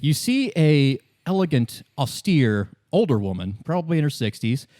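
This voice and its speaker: A man's voice: on the high side, big pitch swings, moderate pace, mellow, no room sound, clean studio sound. A man improvising narration as a tabletop game master, conversational and often rephrasing as he goes.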